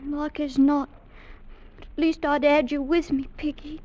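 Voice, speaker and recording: A woman's voice: sits high, little pitch movement, measured pace, warm tone. A woman playing a sweet, anxious young girl in love, plaintive and tender, in an old-time radio drama. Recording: dull old radio recording.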